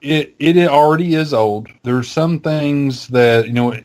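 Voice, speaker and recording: A man's voice: on the high side, measured pace, darkish tone. A man with a Southern American accent, co-hosting a podcast and chatting casually in a rambling, hesitant way full of fillers. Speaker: podcast co-host. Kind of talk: casual chat.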